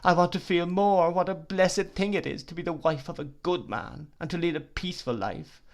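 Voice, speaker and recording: A man's voice: mid-range voice, lively intonation, moderate pace, close to an everyday voice. A man reading aloud, voicing a tearful, remorseful young woman who sobs and pleads. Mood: pleading. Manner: sobbing, pleading.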